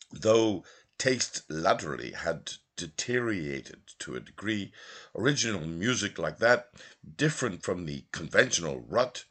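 A middle-aged man reads literature aloud in a low, husky voice. His delivery is theatrical and measured, with deliberate pauses and sweeping, lively intonation. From Northern Ireland. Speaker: audiobook reader. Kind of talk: expressive literary narration.

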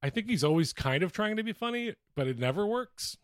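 A man's voice: high-pitched, animated, a little fast, dry recording, studio quality. A man hosting a podcast with casual sports banter, joking and crude. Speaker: podcast host.

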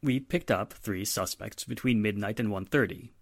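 A woman acting a steady, earnest male police inspector in a 1940s-style radio drama. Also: rumbling low, normal speed, no echo, clean studio sound. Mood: matter-of-fact.

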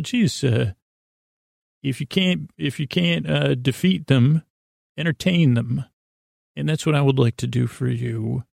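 A man hosting a bedtime podcast in a creaky, lulling voice, meandering haltingly and interrupting himself through a silly monologue. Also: medium pitch, darkish tone, dry recording, studio quality. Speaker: host of a bedtime sleep podcast. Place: small closet, close and dry.

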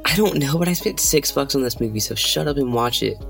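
A man's voice: mid-range voice, animated, brisk, gravelly, fairly bright tone, faint music behind, voice pushed up. A man voicing a boy, the offhand younger brother in an amateur horror story. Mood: annoyed.

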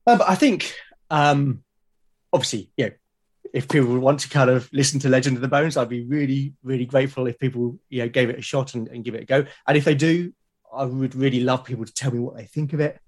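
A man's voice: mid-range voice, fairly quick. A British man talking casually and earnestly as a podcast guest, with an encouraging way of speaking. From Britain. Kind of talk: casual interview chat.

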